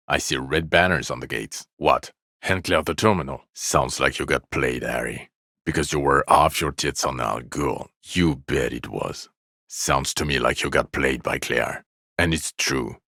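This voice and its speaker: An actor playing a jaded, sarcastic, irritable police officer in a video game, gravelly and deadpan, with weary scorn. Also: male voice, a bass voice, no echo, clean studio sound, heavily acted. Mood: bitter, mocking. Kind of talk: video game character dialogue lines.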